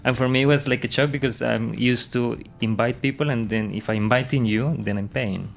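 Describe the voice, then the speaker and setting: A man, a university professor chatting casually in English with many pauses and fillers. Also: medium pitch, fairly even intonation, vocal fry, soft background music. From Colombia. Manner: hesitant, many fillers and restarts.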